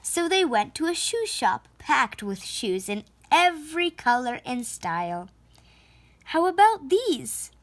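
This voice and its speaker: Female storyteller, fairly high voice, very unhurried, emphatic children's read-aloud. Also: big pitch swings, a bit bright. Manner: slow, deliberate, with emphatic phrasing. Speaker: storyteller reading a picture book aloud.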